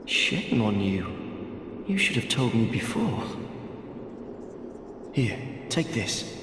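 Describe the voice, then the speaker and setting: A man voicing a gentle, absent-minded wandering mapmaker in a video game, courteous and hesitant, his thoughts drifting. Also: mid-range voice, sing-song, slow pace. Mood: delighted. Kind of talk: video game character dialogue. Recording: echoey long reverb, clear background noise.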